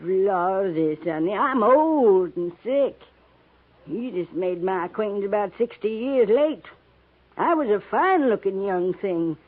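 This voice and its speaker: A woman's voice: mid-range voice, lively intonation, a little slow, phone-quality sound. An actress playing a sly, teasing, sick old frontier woman with folksy backwoods talk in a 1950s radio western. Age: older. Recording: thin, band-limited 1950s radio recording.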